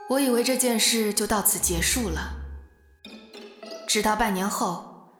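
A woman's voice: medium pitch, unhurried, faint music behind, natural voice. Woman acting a wary office worker, echoey. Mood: uneasy. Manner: earnest, uneasy. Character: wary young female office worker telling her harassment ordeal. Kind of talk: dramatic first-person narration, suspense audio drama.

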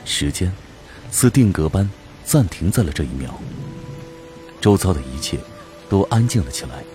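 Casting: male narrator, medium-to-low voice, lyrical storytelling for audio drama. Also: sing-song, normal speed, mellow, faint music behind.

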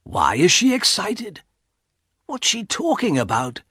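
Tired old husband, acted by a narrator: frightened, sorrowful, animated pitch, emphatic protests. Audiobook. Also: breathy edge, no room sound, clean studio sound, exaggerated voice. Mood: alarmed, puzzled. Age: older. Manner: anxious, drawn-out emphatic protest. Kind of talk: audiobook character acting.

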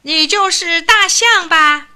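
A woman voicing an arrogant, hot-tempered little male mouse who squeaks and shouts in a storybook. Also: very animated, unhurried, full character voice. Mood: haughty.

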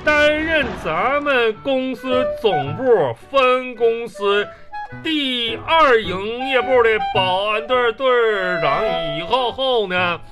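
A man's voice: very high-pitched, animated, over music, heavily acted. A man playing an eager, pompous company security captain with a heavy comic stutter in a radio comedy sketch. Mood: pompous, boastful. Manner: pompous, fawning, halting official speech. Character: stammering, self-important male company security guard captain, eager and obsequious. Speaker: radio comedy show host.

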